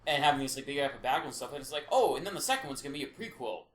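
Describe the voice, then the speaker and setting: A man's voice: medium pitch, lively intonation, very fast, fairly bright tone. A man chatting casually as a podcast panelist, with slangy, sweary, emphatic talk. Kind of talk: casual chat about movies and games. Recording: echoey room, faint background noise.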